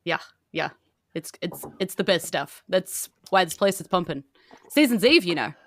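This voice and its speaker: A woman's voice: lowish voice, expressive, normal speed. A woman playing a chatty, informal male barkeep in a tabletop game, explaining things hesitantly. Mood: matter-of-fact. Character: chatty male small-town barkeep, protective of local reindeer.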